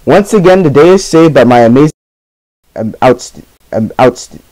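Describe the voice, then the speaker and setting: A man voicing a brash, dim-witted young pirate captain in an anime parody dub, excitable and blunt. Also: mid-range voice, moderate pace, dry recording, lightly acted, rough recording. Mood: boastful.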